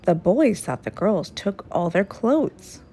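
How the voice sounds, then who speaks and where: Unhurried woman reading a children's story. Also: mid-range voice, very animated, husky. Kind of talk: expressive read-aloud storytelling. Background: soft music.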